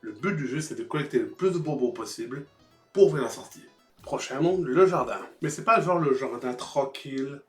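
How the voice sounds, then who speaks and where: Man, mid-pitched voice, sarcastic review narration, foul-mouthed, echoey room, faint music. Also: moderate pace. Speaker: host of a retro video game review show. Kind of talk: humorous scripted review narration. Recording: roomy echo, music behind.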